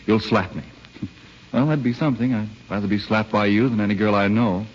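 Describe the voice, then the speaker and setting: A man's voice: lowish voice, a little fast, close to an everyday voice. An actor playing a persistent, teasing young male suitor in a 1930s radio drama, with light banter. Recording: old muffled radio, faint noise.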